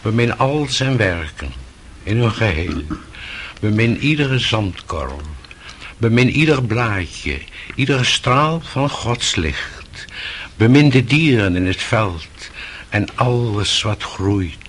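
An actor playing a gentle, devout old monk, preaching in a solemn, measured way in a radio play. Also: male voice, on the low side, very animated, a little hiss or hum, exaggerated voice. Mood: solemn, loving.

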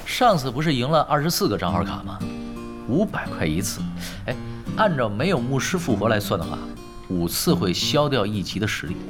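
Man acting a nonchalant, very animated young gamer. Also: medium pitch, fairly quick, natural voice. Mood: playful scheming. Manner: nonchalant, teasing. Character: laid-back, shameless, money-minded young male pro gamer. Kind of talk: Chinese audio drama acting. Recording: music behind the voice.

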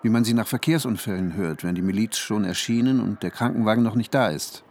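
An actor playing a troubled, intense male scientist who narrates his own ordeal in measured radio drama style. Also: lowish voice, a little mellow, slight background noise, lightly acted. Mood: reflective, calm. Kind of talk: German radio drama, literary first-person narration.

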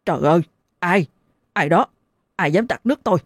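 A woman's voice: fairly low pitch, expressive, a little slow, breathy edge, no echo. A woman voicing a middle-aged husband, startled awake and confused.

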